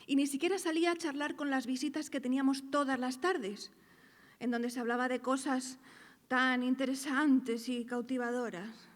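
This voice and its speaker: An actress playing a coquettish, wistful young single woman of 1890s Madrid in a theatrical radio-play monologue, telling her story coyly and deliberately. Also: high-pitched, natural voice. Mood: dramatic, resolute. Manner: expressive, coy, deliberate storytelling with drawn-out phrases. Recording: echoey hall reverb, live reading.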